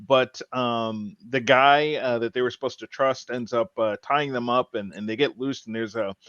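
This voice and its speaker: A man with a mid-pitched voice chats casually as a podcast host. He talks in a halting way, often doubling back on words. The sound is close and dry, slightly dull and lo-fi. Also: fairly even intonation, a bit bright, smooth, no echo. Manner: halting, repeats words as he thinks. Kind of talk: casual TV show discussion.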